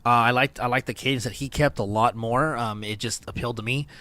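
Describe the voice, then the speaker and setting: Man, host, casual panel review, mid-pitched, loose, swears, laughs. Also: steady tone, fairly quick, sharp tone, dry recording. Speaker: show host.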